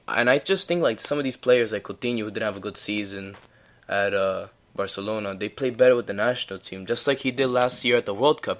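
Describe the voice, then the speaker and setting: A young man casually talking through a solo sports recap, chatty and rambling with laughs. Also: lowish voice. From United States. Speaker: podcast host.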